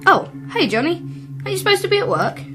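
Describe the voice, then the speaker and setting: A woman's voice: extremely high pitch, big pitch swings, a little fast, over music. A woman playing a friendly, teasing acquaintance in an amateur radio play.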